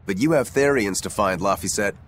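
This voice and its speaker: A man's voice: on the low side, fairly quick, slight background noise, lightly acted. A man playing a practical, easygoing, caring crewmate in a video game. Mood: advising. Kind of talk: English game voice acting.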